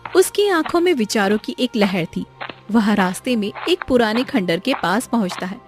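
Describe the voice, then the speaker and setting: A woman's voice: medium pitch, lively intonation, moderate pace. A woman reading a scripted moral story for children as its narrator. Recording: music behind the voice. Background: background music.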